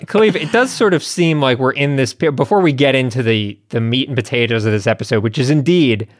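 A man's voice: medium pitch, brisk, no echo, clean studio sound. A man hosting a podcast in a casual, conversational way with informal asides. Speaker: podcast host.

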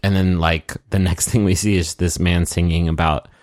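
A man co-hosting a podcast, joking and swearing, his voice gravelly after a busy stretch of performing. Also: steady tone, moderate pace, darkish tone, dry recording, studio quality. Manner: sweary, jokey, breaks into falsetto singing. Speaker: podcast co-host. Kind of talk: casual recap chat.

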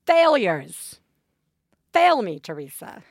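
A woman's voice: on the high side, sing-song, slow, loud music behind the voice. A woman co-hosting a podcast, reacting playfully and emphatically in casual chat. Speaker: podcast co-host.

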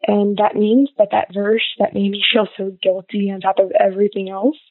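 A woman's voice: mid-range voice, little pitch movement, moderate pace, dry recording, narrow, tinny audio. A woman telling her personal story in a candid, earnest way.

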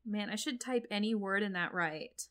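A woman's voice: medium pitch, moderate pace, a bit bright. A woman co-hosting a podcast in casual chat, with playful, reactive banter. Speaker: podcast co-host.

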